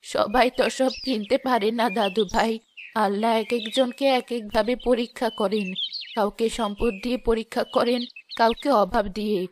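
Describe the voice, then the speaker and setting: A woman voicing a kindly, pious grandmother in a cartoon who speaks calmly. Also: a little high, normal speed, background noise, lightly acted. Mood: gentle. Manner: calm, kindly and teaching. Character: gentle, pious wealthy grandmother.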